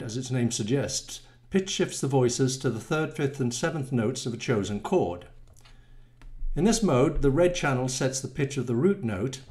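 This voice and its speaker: A man's voice: mid-range voice, darkish tone. A man, explaining and demonstrating a product he designed. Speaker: module designer presenting a demo. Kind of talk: product demo, explanatory narration. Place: small room at home, indoors.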